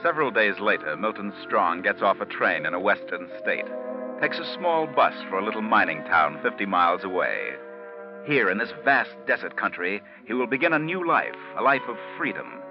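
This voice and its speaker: An actor as an ominous, measured storyteller narrating a crime tale in 1940s radio style. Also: male voice, lowish voice, sing-song. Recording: muffled vintage 1940s radio recording. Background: dramatic music.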